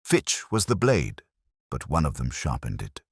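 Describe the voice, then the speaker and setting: Guy reading as a pompous brainy detective, really deep, husky, commanding, audiobook style. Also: huge pitch swings, dry recording, clean studio sound, heavily acted. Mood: pondering. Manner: pompous, measured, formal wording. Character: imperious, cerebral male detective, formal and commanding.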